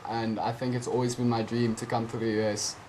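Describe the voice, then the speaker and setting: A teenage man with a South African accent and Afrikaans as his first language talks over a video call. He answers casually and haltingly, filling pauses with ums. A faint hum of background noise sits under his voice. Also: medium pitch, flat delivery, darkish tone, rough recording. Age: teens. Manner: hesitant, lots of ums, friendly. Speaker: guest, junior tennis player.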